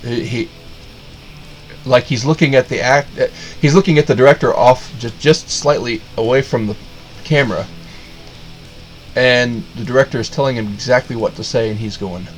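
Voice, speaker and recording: A man's voice: mid-range voice, moderate pace, pauses often, soft background music, rough recording. A man giving a casual, sarcastic rant of a review, rambling with lots of restarts. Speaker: video reviewer.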